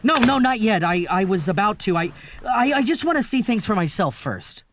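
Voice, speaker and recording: An actor plays an anxious, guilt-ridden man in a horror audio drama. His voice sits a bit high and moves widely in pitch. He delivers lines dramatically, tending to stammer under pressure. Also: very animated, normal speed, noisy background. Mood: evasive, agitated. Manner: dramatic, stammering when flustered. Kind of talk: horror audio drama acting with first-person narration.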